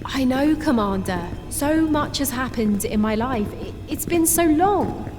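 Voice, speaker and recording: A woman voicing a cheerful female soldier, newly made sergeant, speaking in a slow, emphatic way. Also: high voice, animated, big-room sound, music in the background, voice pushed up. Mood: fond.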